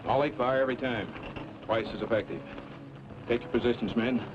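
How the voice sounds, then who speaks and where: Commanding western leader played by an actor, muffled recording. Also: male voice, mid-range voice, a little fast, lightly acted. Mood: firm, commanding. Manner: clipped, instructing, authoritative. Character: commanding male leader rallying armed riders for battle. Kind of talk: old western radio drama acting. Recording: muffled, noisy old radio drama recording.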